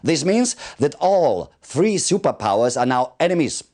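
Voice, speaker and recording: An actor playing a formal military commander, giving measured, deliberate mission briefings in a video game cutscene. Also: male voice, fairly high pitch, big pitch swings, a little mellow. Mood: official, serious.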